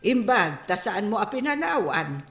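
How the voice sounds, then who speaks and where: Slow, expressive Ilocano mother, woman. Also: medium pitch. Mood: teasing, curious. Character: mother of a grown daughter, fond and teasing. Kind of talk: Ilocano radio comedy-drama acting. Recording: roomy studio echo.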